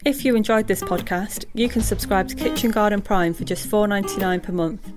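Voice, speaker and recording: A woman's voice: medium pitch, a little fast. Woman announcer over plucked guitar music. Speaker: advertisement announcer. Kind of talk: scripted promotional read.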